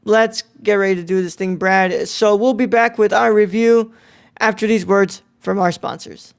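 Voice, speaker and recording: A man's voice: sits high, fairly even intonation, normal speed, creaky, clear, resonant tone. A man co-hosting a film podcast, talking casually and informally. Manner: informal, mild swearing. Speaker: podcast co-host.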